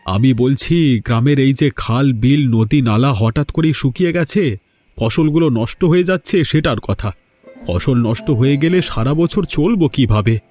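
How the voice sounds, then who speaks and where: A man's voice: mid-range voice, expressive, moderate pace, a little mellow, faint music behind, natural voice. A man playing a worried village farmer and husband in a cartoon story, complaining emphatically. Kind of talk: cartoon moral-story voice acting.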